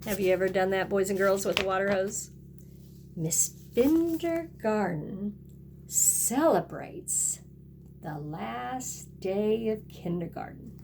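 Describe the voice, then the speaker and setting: A woman's voice: medium pitch, animated, slow, vocal fry, noisy background. A woman kindergarten teacher reading a picture book aloud and talking directly to young children in a sing-song way.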